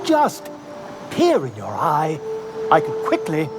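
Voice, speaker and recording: Florid fantasy-game scholar, pompous and excitable. High, swooping delivery over clear outdoor noise. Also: male voice, takes it slowly, exaggerated voice. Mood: amused, curious. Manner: theatrical, florid, self-important. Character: pompous, excitable male self-styled scholar of monsters. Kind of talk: fantasy video game acting.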